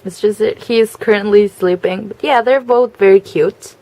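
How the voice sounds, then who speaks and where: A nineteen-year-old woman from Mexico with a Mexican accent, a native Spanish speaker, introducing herself in English at home in a casual video, speaking softly and hesitantly with many fillers. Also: mid-range voice, normal speed, echoey room, poor audio. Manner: soft-spoken, hesitant with frequent fillers. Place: family home.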